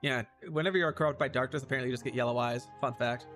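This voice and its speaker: A man's voice: medium pitch, steady tone, very fast, a bit bright, soft background music. A man livestreaming casual game commentary, musing and reacting aloud in loose fragments.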